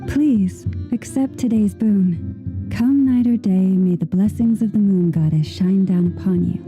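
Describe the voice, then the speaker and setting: A woman voicing a serene, devout moon chanter in a video game, speaking softly in formal, poetic, measured phrases. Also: medium pitch, close to an everyday voice. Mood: serene blessing. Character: serene, devout young female moon chanter, solemn and kindly. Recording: music playing behind the voice.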